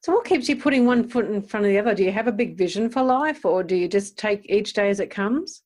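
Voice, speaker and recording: Woman doing a casual interview, average pitch, agreeable and encouraging, builds on what the other person says. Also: lively intonation, quick pace, darkish tone. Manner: agreeable, affirms and builds on the guest's points.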